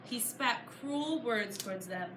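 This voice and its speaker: A woman reading a storybook aloud to a class of children, pausing to put questions to them in an encouraging, teacher-like way. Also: on the high side, expressive. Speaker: author reading her book to a class. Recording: echoey room, clear background noise. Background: children answering, room noise.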